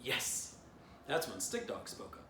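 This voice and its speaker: A man narrating a children's storybook aloud in an animated way, as an author reading his book. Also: on the low side, a little fast. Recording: distant mic, roomy, noisy.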